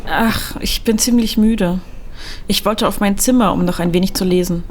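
An actress playing a wry, affectionate woman musician with a husky voice and soft, dryly teasing delivery in a naturalistic audio drama. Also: mid-range voice, normal speed, slight background noise, lightly acted. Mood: polite, evasive.

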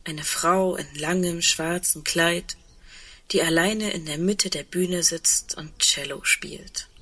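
A wistful woman musician in an intimate radio play. Her voice sits a little low, husky yet bright and forward. She speaks reflectively, in halting, natural phrases. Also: full character voice. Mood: wistful, reminiscing. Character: wistful woman double bass player facing the world's end.

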